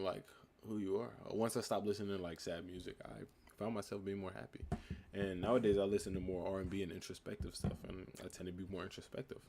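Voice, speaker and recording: A young man from the United States with a calm, laid-back voice, reading live chat questions aloud and answering them in a relaxed, reflective way. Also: low voice, slight background noise. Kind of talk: casual live stream chat.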